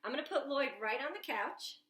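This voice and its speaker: Librarian lady doing story time from home, high lively voice, echoey room. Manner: animated, slow and expressive for children. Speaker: children's librarian. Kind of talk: children's story time read-aloud. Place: home living room with a couch.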